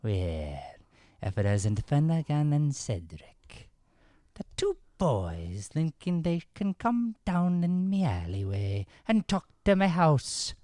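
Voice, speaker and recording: A man playing a menacing Irish householder in an improvised radio comedy, taunting with drawn-out threats and an Irish accent. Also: on the high side, very animated, dark tone, dry recording, studio quality. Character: menacing Irish householder guarding his alley. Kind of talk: improvised old-time radio comedy play.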